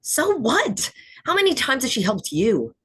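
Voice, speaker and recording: A woman's voice: high voice, wildly swooping pitch, measured pace, background noise, heavily acted. A woman playing a combative, cutthroat young beauty queen in a screenplay table read, sarcastic and confrontational. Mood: hostile.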